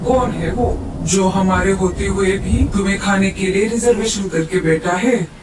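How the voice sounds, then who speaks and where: Man putting on a swaggering, high-voiced bully bird. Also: unhurried. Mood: indignant, cocky. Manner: rustic dialect, taunting. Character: swaggering, bullying male bird-of-prey gang leader. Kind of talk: cartoon voice acting. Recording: roomy echo, distant, faint noise.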